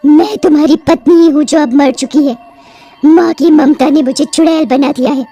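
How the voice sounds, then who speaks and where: A woman's voice: high-pitched, fairly even intonation, normal speed, dark tone, dry recording. A woman voicing a sorrowful pregnant witch, a young dead wife turned ghost, in a plaintive, dramatic cartoon style. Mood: vengeful. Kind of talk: Hindi horror cartoon voice acting.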